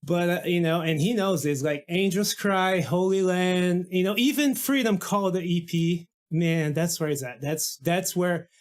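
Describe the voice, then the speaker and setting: A man's voice: high-pitched, a little slow, few pauses. A man from Brazil, a guest musician in a casual podcast interview, talking enthusiastically with many restarts and fillers.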